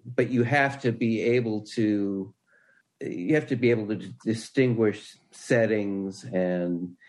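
A man answering interview questions in a halting, thoughtful way. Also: fairly low pitch. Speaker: podcast guest, writer.

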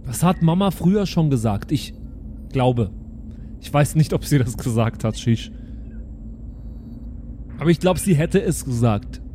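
A man playing a naive, excitable alien son in an improvised audio play, speaking childlike broken German with a repeated verbal tic. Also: mid-range voice, a little slow, long pauses, a little hiss or hum, lightly acted. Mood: naive, chatty.

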